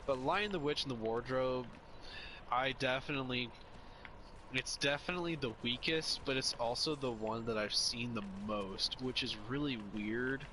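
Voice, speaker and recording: A young man chats casually as a co-host, rambling through opinions and restarting his sentences as he goes. His voice sits in an ordinary middle pitch. Faint street and traffic noise runs behind him. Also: normal speed, sharp tone, poor audio. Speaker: podcast co-host. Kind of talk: casual chat.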